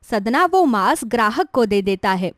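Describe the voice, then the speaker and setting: High-pitched, lively woman storyteller. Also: unhurried, no echo, clean studio sound. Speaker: narrator. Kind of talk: scripted storybook narration for a moral tale.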